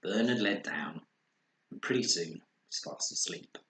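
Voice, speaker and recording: A man reading a children's story aloud expressively, with dramatic pauses and acted sound words. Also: deep voice, lively intonation, fairly bright tone. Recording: roomy echo, faint background noise.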